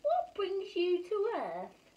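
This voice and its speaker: Woman voicing a high-pitched, eager young boy. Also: huge pitch swings, takes it slowly, highs cut off, exaggerated voice. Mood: curious.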